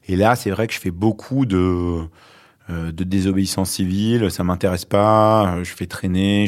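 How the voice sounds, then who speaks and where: A man in his forties with a very low, deep voice, speaking as a guest in an interview. He tells his story slowly and thoughtfully, pausing often and searching for his words. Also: darkish tone. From Lebanon. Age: 40s. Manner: reflective, many hesitations. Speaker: author and journalist guest.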